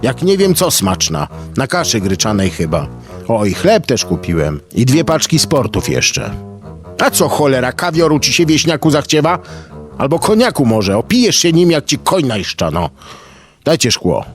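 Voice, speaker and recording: A man voicing a coarse, loud male construction worker who swears in rough dialect. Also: medium pitch, huge pitch swings, moderate pace, music in the background, pitched above the performer's own voice. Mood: indignant.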